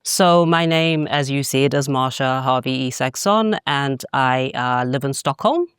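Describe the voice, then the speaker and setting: Clear-voiced woman. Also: rumbling low, unhurried, no room sound, studio quality. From Zimbabwe. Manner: warm, hesitant, many ums. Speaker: podcast guest, artist. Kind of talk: conversational self-introduction.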